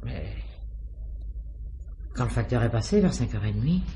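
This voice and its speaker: Defensive, gossipy concierge played by a man, hesitant and evasive, dark soft-edged tone, vintage radio drama, slightly noisy recording. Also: medium pitch, very animated, normal speed, close to an everyday voice. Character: evasive, defensive, gossipy building concierge under police questioning. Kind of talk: 1950s French radio mystery drama acting.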